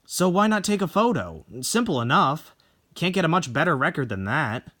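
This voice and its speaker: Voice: medium pitch, sing-song, normal speed, a bit bright. A performer playing a cocky, proud, hot-tempered male painter in a dramatic horror reading, blunt and wry. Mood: wry, confident.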